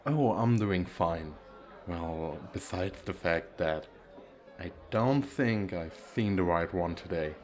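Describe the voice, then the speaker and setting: A man playing a gentle, awkward single dad who flirts shyly and hesitantly in an ASMR roleplay. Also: low voice, slow. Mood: polite, hesitant. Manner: hesitant, self-deprecating, soft chuckles.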